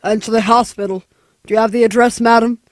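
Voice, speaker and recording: A woman's voice: on the high side, steady tone, brisk, no room sound, natural voice. A woman playing a by-the-book, impersonal information operator with a formal manner in a 1940s-style radio play. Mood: curt, impersonal. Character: curt, unhelpful, by-the-book directory information operator.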